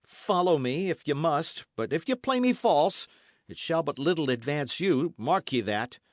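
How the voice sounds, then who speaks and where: Man doing a rough young squire, commanding, brusque, raised lively pitch, storybook reading. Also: a little slow, no room sound, studio quality. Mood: rough, impatient. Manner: brusque, forceful old-fashioned speech.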